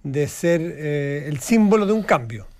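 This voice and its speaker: A man's voice: on the high side, lively intonation, unhurried, darkish tone, a little hiss or hum. A man giving his view in a live radio conversation. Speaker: radio show panelist.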